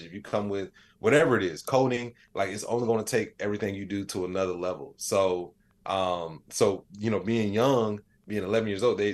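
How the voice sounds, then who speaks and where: A man in his late thirties with a fairly low-pitched voice. He talks as an interview guest in a loose, colloquial way, keeping explanations plain-spoken and conversational, sometimes voicing bits of imagined dialogue. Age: late 30s. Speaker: interview guest, AI educator and comedian. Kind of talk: casual conversational interview answers.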